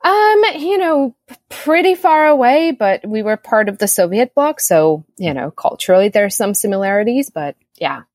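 A woman's voice: medium pitch, sing-song, moderate pace, a little mellow, no echo, clean studio sound. Friendly young Czech-born woman. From Czech Republic. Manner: friendly, laughs easily, uses "you know" a lot. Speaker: business coach, guest. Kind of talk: casual interview chat.

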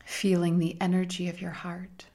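A woman leading a guided meditation in a soft, gentle, soothing manner with long pauses and breathy phrase endings. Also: on the low side, completely flat delivery.

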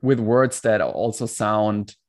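A man's voice: lowish voice, slow. A man whose first language is German, speaking as a coach in a casual video-call interview, reflective and hesitant, often restarting his sentences. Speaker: coach, guest.

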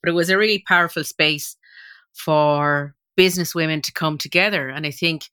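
A woman's voice: deep voice, moderate pace, a bit bright, clean tone. A woman hosting a marketing podcast, introducing the show and chatting in a conversational interview style.

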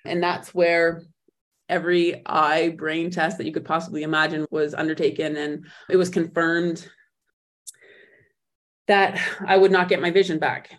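A woman guest on an interview podcast, recounting her own story in a reflective way, often pausing and restarting as she searches for words. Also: low voice, level tone. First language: not German; speaks in English.

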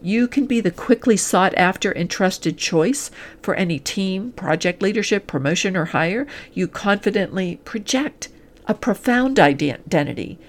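A woman with a mid-pitched voice speaks as an executive coach presenting her offer. She addresses the listener directly in a scripted promotional style. Her delivery is earnest and deliberate, with emphasis on short, listed phrases. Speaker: executive coach and podcast host.

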